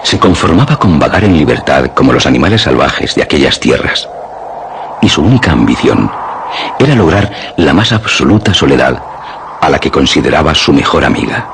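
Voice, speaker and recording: Very deep-voiced Castilian man narrating. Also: big pitch swings, noisy background. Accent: Castilian Spanish. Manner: measured storytelling in formal, literary phrasing. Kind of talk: literary scripted narration for radio suspense drama. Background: music.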